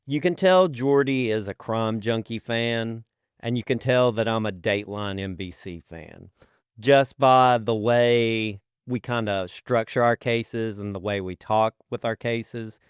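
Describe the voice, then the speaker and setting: Clear-voiced man chatting on a podcast. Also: lowish voice, unhurried, no room sound, studio quality. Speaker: podcast co-host. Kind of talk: casual chat.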